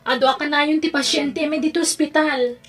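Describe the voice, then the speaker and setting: A woman's voice: high-pitched, fairly even intonation, brisk, close to an everyday voice. A woman playing an eager young daughter. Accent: Ilocano. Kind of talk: Ilocano audio drama acting.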